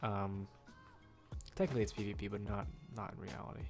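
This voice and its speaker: A man's voice: on the low side, fairly even intonation, fairly quick, darkish tone, faint music behind. A young man with a nasal, stuffed-up voice giving rambling, casual commentary while he plays a game at home, full of ums and uhs. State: nasal from a cold. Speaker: gaming YouTuber.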